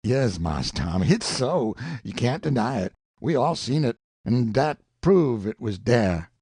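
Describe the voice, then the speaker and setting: A man's voice: mid-range voice, sing-song, slow pace, mellow, husky, no room sound, studio quality, full character voice. A man voicing a frightened, superstitious grown man who pleads in a heavy rural dialect. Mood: pleading.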